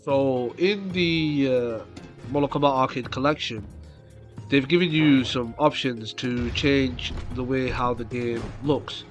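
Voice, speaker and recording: A man with a cold and a nasal voice, casually commenting on gameplay for his channel, with fillers. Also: mid-range voice. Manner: slow, rambling, many fillers. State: has a cold coming on. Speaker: gaming YouTuber. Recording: game music behind voice. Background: game music.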